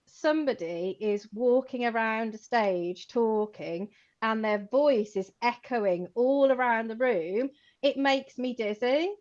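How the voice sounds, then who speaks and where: A chatty woman with a fairly high voice talks through an informal conversation. She is animated and full of fillers, and she laughs as she makes her points. The audio is a little dull and lo-fi. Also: takes it slowly. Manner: chatty, animated, many fillers like "like" and "you know", laughs while talking. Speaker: co-host, co-owner of a computing education company.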